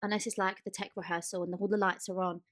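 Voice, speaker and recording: A woman's voice: mid-range voice, little pitch movement, quick pace, dry recording. A woman co-hosting a podcast in casual chat, speaking informally and with emphasis. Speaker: podcast co-host.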